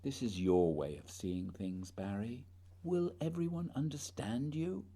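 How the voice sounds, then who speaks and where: A man's voice: medium pitch, measured pace, mellow. A man playing a proud, duty-bound polar explorer, solemn and questioning, in a radio play. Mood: doubtful, questioning.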